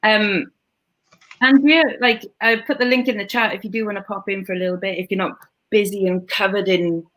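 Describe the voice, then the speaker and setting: A woman's voice: mid-range voice, steady tone, brisk, fairly bright tone, low-quality recording. A Welsh woman hosting a casual live stream, chatting in a rambling way with lots of fillers and restarts. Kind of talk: casual chat.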